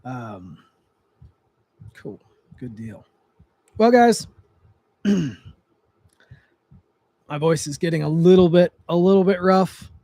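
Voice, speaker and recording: A young man with a fairly high voice chats loosely to his livestream viewers from a chair at home, often restarting and trailing off with fillers. His voice is getting slightly dry. The close mic gives a dry, unreverberant sound. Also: animated, takes it slowly, pauses often, warm tone, no room sound. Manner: rambling, with frequent restarts and fillers. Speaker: livestream host, trigger tuner. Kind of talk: casual livestream chat.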